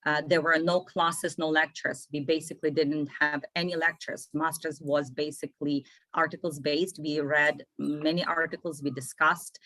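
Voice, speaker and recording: Low-voiced woman, dry sound. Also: normal speed, no room sound, low-quality recording. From Ukraine. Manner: animated, repeats phrases for emphasis. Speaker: immigration consultant, guest. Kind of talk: casual interview talk.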